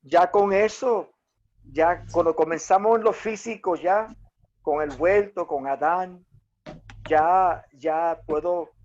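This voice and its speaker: A man whose first language is English, speaking Spanish in a casual Bible discussion and often pausing to search for words. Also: high voice, a little mellow, muffled recording. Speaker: Bible study participant.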